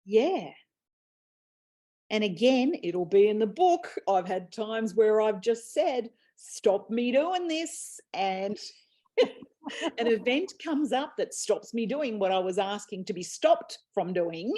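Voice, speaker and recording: A woman's voice: mid-range voice, lively intonation, slow, warm tone. A woman hosting a casual, reflective conversation, talking earnestly and laughing easily. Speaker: host and author. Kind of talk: casual chat.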